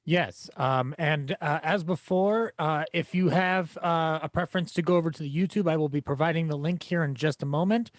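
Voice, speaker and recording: A man's voice: a little high, normal speed, hardly any pauses, dry recording. A man making casual live announcements as an event's tech producer, polite and slightly formal.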